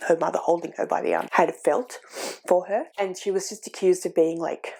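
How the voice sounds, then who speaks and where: A woman vlogger talks through a book in a casual, earnest way, stopping now and then to gather her thoughts. She is sniffly from a cold. Her voice sits low and carries a heavy gravelly fry. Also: fairly even intonation, a little fast, a little mellow. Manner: earnest, thinking aloud with fillers. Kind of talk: casual reading vlog chat.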